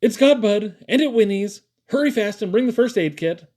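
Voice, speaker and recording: Man acting a high-pitched, panicky young boy. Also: animated, moderate pace, a little mellow. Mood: urgent insistence. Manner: urgent, breathless exclaiming.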